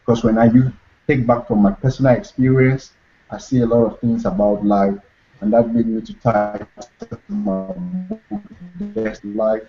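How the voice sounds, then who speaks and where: A man's voice: mid-range voice, animated, unhurried, creaky, dark tone, faint music behind. A young man from Cameroon, talking earnestly as an interview guest and repeating his key phrases. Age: young adult. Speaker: guest author. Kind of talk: motivational interview talk. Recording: dull lo-fi recording.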